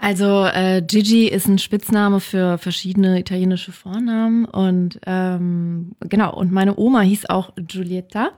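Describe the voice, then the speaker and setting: A woman singer from Cologne answers interview questions in a relaxed, hesitant way, full of small fillers. Her voice sits at a mid pitch. She speaks close to the microphone in a studio. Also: measured pace, hardly any pauses. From Germany. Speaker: pop singer, guest. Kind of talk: radio interview. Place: radio studio.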